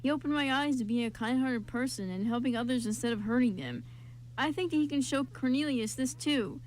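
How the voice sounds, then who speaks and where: A woman acts out a fiercely loyal young man in an amateur radio play. The voice is fairly high, blunt and insistent, over a faint noisy hum. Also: fairly quick, natural voice. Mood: heartfelt, insistent. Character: loyal, blunt young man defending his best friend.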